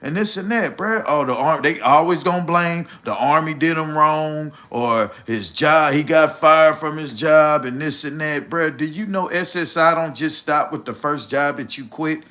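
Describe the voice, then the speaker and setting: A man's voice: a little high, normal speed, few pauses, pushed and bright. A man, talking bluntly and preachily on a casual livestream, swearing freely and calling the listener "bro". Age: 40s. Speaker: livestream host.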